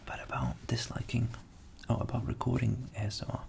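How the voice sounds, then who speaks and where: A young man who grew up in England with Ukrainian as his first language, whispering softly as an ASMR host answering viewer questions and thinking aloud. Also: mid-range voice, big pitch swings, brisk, vocal fry. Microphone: studio USB microphone.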